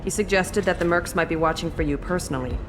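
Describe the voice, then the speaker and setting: A woman's voice: on the low side, fast, background noise, close to an everyday voice. An actress playing a composed, deferential female contact giving formal reports in a sci-fi video game. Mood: formal concern. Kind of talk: scripted video game dialogue.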